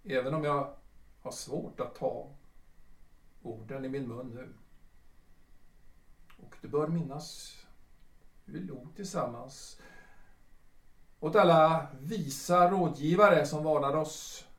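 An actor playing a bitter, wounded retired civil servant in his sixties in a period radio drama, speaking formally and haltingly. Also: fairly high pitch, lightly acted. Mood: brooding, hurt. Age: older. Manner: formal, archaic, halting phrasing. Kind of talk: period stage-play radio drama acting. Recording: roomy, distant from the microphone.

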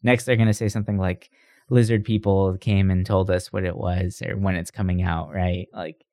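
Guy with a lowish voice doing chatty gaming podcast news, dry sound, lots of little tag questions. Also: flat delivery, normal speed, mellow, no room sound. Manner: conversational, rhetorical tag questions. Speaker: podcast host.

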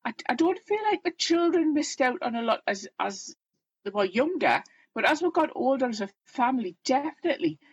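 A chatty Geordie woman in her fifties, candid about her life and quick to laugh at herself. Her voice sits fairly high with a husky edge. The recording is close and dry, with a slightly dull, muffled sound. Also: very animated, no room sound. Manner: chatty, self-deprecating, plain-spoken. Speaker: guest. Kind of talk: candid personal storytelling.

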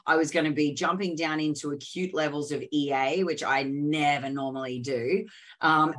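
A woman's voice: sits low, a bit bright. A woman in her thirties co-hosting a podcast over a video call, talking openly and emphatically while her voice is still recovering from a sore throat. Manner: chatty, emphatic, open. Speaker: podcast co-host. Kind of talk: casual chat.

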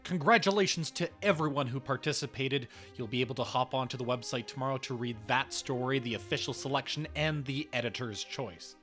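A man's voice: medium pitch, a little fast, few pauses, fairly bright tone. Male podcast host over background music. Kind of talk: scripted podcast announcements.

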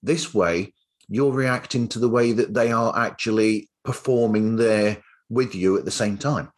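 A man hosting a casual podcast interview, speaking in a halting, conversational way and often repeating his opening words. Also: on the low side. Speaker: podcast host.

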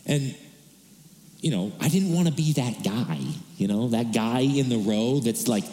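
Loud-voiced worship pastor preaching in an echoey hall. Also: male voice, medium pitch, lively intonation, measured pace. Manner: earnest, self-deprecating, conversational preaching. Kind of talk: sermon. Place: church sanctuary. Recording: echoey reverberant church hall.

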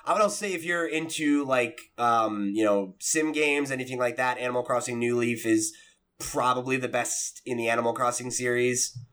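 A man's voice: medium pitch, normal speed, a bit bright. A man hosting a casual gaming podcast in a conversational, chatty way. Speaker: podcast host.

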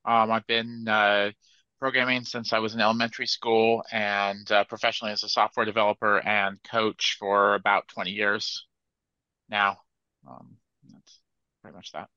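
Bright-voiced man on a dull lo-fi mic. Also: on the low side, little pitch movement, moderate pace, smooth, no echo. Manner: easygoing, hesitant, many fillers. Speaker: software developer and coach guest. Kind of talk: casual panel chat.

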